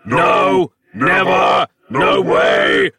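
A man playing a pompous, raging demonic typewriter villain in a comic horror story, declaiming grandly and wordily in a harsh, raspy voice. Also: high voice, sing-song, sharp tone, dry recording, shouting, clean studio sound, recorded from a distance, heavily acted. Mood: anguished, shrieking. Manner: declamatory, drawn-out, grandiose delivery.

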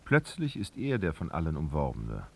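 A man's voice: a bass voice, huge pitch swings, moderate pace, vocal fry, husky, exaggerated voice. A man narrating a literary radio drama, reading expressively and dramatically.

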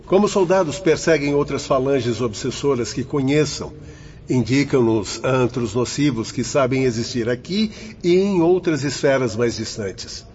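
An earnest guardian of spirits played by a man explains in formal radio drama style. The voice is medium in pitch, and the recording is muffled with slight noise. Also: lively intonation, measured pace, a little mellow, natural voice. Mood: earnest, stern. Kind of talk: radio soap opera acting.

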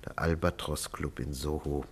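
A man's voice: very deep voice, fairly even intonation, normal speed, a little hiss or hum. An actor playing a worried middle-aged psychiatrist and father in a 1950s German radio play, formal and hesitant in manner. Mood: anxious, puzzled. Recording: 1950s radio drama recording.

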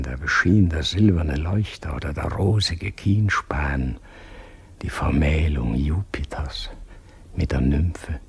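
An actor in a radio play as an aging, grandiose king, speaking in a declamatory, poetic way with hushed phrases. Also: very deep voice, vocal fry, breathy edge, exaggerated voice. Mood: wistful, proud. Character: aging, grandiose, brooding male king of Poland. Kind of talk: German radio play acting, poetic monologue.

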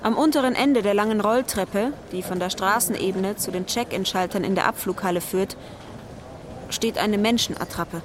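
A woman's voice: medium pitch, moderate pace, natural voice. A woman narrating a radio drama in a scripted, literary style. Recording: clear urban outdoor ambience noise behind.

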